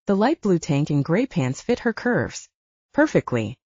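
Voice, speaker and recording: A woman narrates a novel in a mellow, slightly husky voice. She is expressive and moves freely through her range as she shifts between narration and dialogue. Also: lowish voice, measured pace, no echo, muffled recording. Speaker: audiobook narrator.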